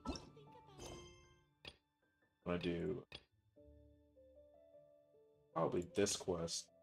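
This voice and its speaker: Low-voiced man chatting on a game stream. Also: expressive, slow, creaky. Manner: rambling, thinking aloud, informal slang. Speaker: game livestreamer. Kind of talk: casual livestream chat while playing. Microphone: streaming mic with noise suppression. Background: game music.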